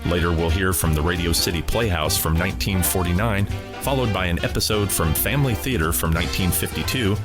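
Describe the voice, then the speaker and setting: A man with a low voice hosts a radio program, reading a scripted introduction. Plucked guitar music plays clearly behind him. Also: a little fast.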